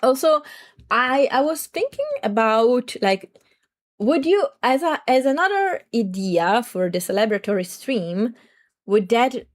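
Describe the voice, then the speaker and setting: A young adult woman streamer with a slightly raspy throat, chatting to viewers in a rambling, talkative way. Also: on the high side, lively intonation, a little slow. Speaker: VTuber streamer. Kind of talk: casual livestream chat.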